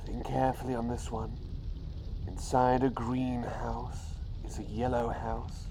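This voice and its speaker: A man voicing an eccentric, playful doctor turned talking bird, in soft-spoken ASMR roleplay with whispers and whimsical, unhurried phrasing. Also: mid-range voice. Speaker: riddle-teller. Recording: clear background noise with outdoor ambience.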